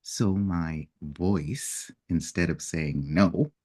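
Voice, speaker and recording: A man speaking in an unhurried, reflective way as he tells a personal story, now and then acting out what people said. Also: low voice. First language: English. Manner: unhurried, reflective storytelling with lively acted-out quotes. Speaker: personal development facilitator.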